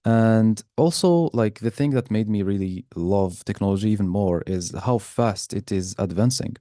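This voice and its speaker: Man, deep voice, soft dark tone, informal guest presenter, dry close sound. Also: moderate pace, dry recording. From Algeria. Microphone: video call.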